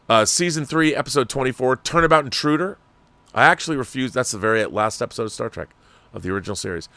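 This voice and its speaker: A man's voice: medium pitch, bright, forceful tone, dry recording. A middle-aged American man hosting a casual fan livestream, reading viewer messages aloud and chatting with opinionated asides.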